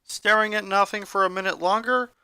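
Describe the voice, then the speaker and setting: Bright-voiced storyteller, close and dry. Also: medium pitch, fairly even intonation, a little fast, clear, resonant tone, no room sound. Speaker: story reader. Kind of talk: scripted narration of fan fiction.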